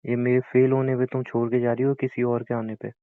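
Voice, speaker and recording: A man's voice: medium pitch, flat delivery, brisk, dry recording, phone-quality sound, lightly acted. A young man playing a hurt boyfriend who pleads and argues bitterly in a naturalistic audio drama of a couple's call. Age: young adult. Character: wounded, pleading young boyfriend with a failed business.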